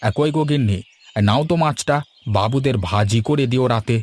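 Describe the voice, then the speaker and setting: Man as a medium-pitched village family man. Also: moderate pace, close to an everyday voice. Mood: cheerful. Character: easygoing, placating village husband and stern father. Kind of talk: cartoon voice acting.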